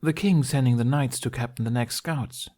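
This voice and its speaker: A man's voice: medium pitch, normal speed, darkish tone, pitched above the performer's own voice, close to an everyday voice. A man voicing a tough, exasperated young warrior woman in a fantasy audio story, blunt and teasing. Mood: hopeful.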